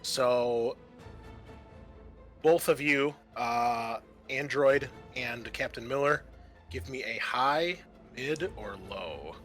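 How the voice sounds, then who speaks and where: A man runs a tabletop roleplaying game in a bright, forceful voice. He narrates and rules casually, swearing freely, with music playing behind him. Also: medium pitch, drawn-out words. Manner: casual, profane. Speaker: game master.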